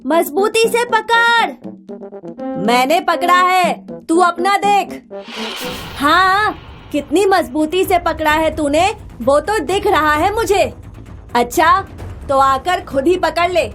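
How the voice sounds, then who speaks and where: A woman's voice: very high voice, expressive, slow, fairly bright tone, faint music behind, exaggerated voice. A woman voicing a squabbling young twin farm boy in a cartoon story, with a childlike, whiny delivery. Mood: bickering. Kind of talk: animated moral story acting.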